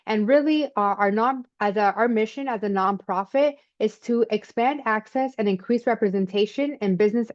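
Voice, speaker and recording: A woman hosting a webinar on a video call, speaking in a relaxed, conversational way with easy fillers. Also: mid-range voice, highs cut off. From Miami. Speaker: webinar host.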